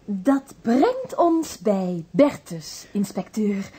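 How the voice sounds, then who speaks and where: Earnest, lively lady sleuth. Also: medium pitch, drawn-out words, husky, heavily acted. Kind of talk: radio drama acting.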